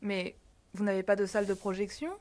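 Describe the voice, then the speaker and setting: An actress playing a young German film student who answers briefly and politely. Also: female voice, mid-range voice, completely flat delivery, a little fast, darkish tone, natural voice. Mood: reserved. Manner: brief, polite, matter-of-fact. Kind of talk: film drama acting.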